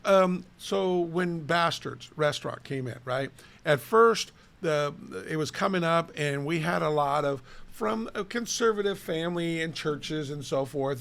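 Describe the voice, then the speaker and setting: A middle-aged man talks in a casual co-host chat, his voice raspy and gravelly with plenty of fry. He sits a little high in pitch and tells his stories with animated, chatty energy. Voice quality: raspy today. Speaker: co-host, city official.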